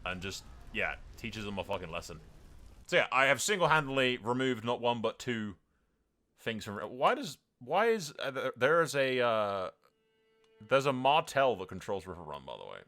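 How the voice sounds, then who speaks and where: A man hosts a video game playthrough with casual commentary and roleplay narration. His voice is bright and forceful, at an average pitch for a man. He talks in an informal, slangy way over faint background music. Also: big pitch swings, moderate pace. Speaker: gaming channel host.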